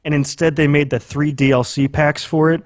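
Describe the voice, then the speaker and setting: A man's voice: on the high side, little pitch movement, moderate pace, no room sound, highs cut off. A man co-hosting a podcast, chatting casually about video games in a blunt, sweary, exaggerating way. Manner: blunt, profane, hyperbolic. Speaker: podcast host. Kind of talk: casual video game discussion.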